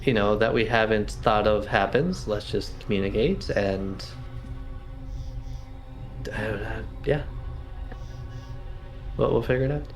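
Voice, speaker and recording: A man's voice: lowish voice, slow pace, pauses often, soft background music. A man chatting casually as a player in a tabletop roleplaying game.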